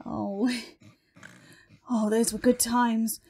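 Crabby, a high-pitched chatty demon minion. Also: female voice, flat delivery, very slow, mellow, heavily acted. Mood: nostalgic, tipsy. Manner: deferential, chatty. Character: Crabby, tipsy sycophantic demon minion of Satan. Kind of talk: audio comedy drama acting.

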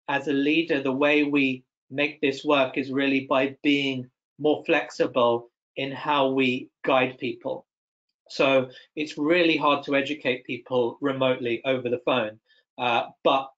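Mid-pitched man on dull call audio. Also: a bit bright. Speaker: banking executive, panelist. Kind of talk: considered panel answer. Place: indoor room, on a video call.

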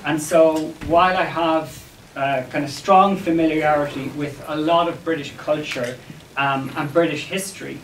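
Higher-voiced Irish man presenting in an echoey room. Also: fairly even intonation, moderate pace, a bit bright. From Ireland. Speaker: academic presenter at a conference. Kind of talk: academic paper presentation, semi-scripted. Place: wood-panelled seminar room, indoors. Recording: echoey room, distant mic, background noise.

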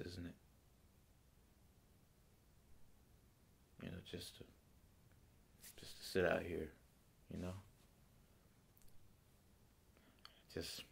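A teenage male performer playing a grieving, bitter ex-convict father in a halting monologue. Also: sits low, vocal fry, a little hiss or hum. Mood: numb, hushed grief. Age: teenager playing older. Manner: halting, hushed delivery that strains into bursts.

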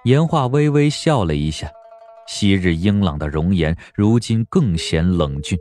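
A male narrator with a low voice and lively, expressive intonation reads a historical story dramatically. Music plays softly behind him. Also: unhurried. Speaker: audiobook narrator.

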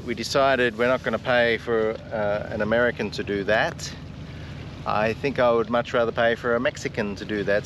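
Easygoing male sailing vlogger, chatty and unscripted, on a camera with clear boat noise. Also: mid-range voice. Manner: chatty, easygoing, thinking aloud with fillers. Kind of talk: casual vlog chat. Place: on a sailboat, on deck and in the cabin. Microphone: action camera held at arm's length.

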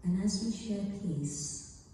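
A woman leading a guided meditation for a gathering, speaking in a hushed, deliberate way with long pauses between short phrases. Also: medium pitch, a little hiss or hum. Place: large church interior at a live event. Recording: echoey church hall, distant microphone.

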